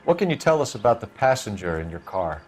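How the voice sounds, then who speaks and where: A reserved old-school detective who questions people politely but firmly. His pitch moves a lot. Clear music plays under him. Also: big pitch swings, quick pace. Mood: stern. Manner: formal, clipped, dry. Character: serious, reserved 1940s police detective man. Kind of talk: video game cutscene acting.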